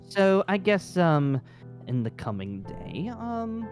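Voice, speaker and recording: Haughty theatrical wizard leader, played by a man, formal and sarcastic, lively swooping delivery, game roleplay. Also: sits high, slow pace, over music, exaggerated voice. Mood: businesslike. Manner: affected formal flourishes, sighing sarcasm. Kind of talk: improvised fantasy roleplay acting.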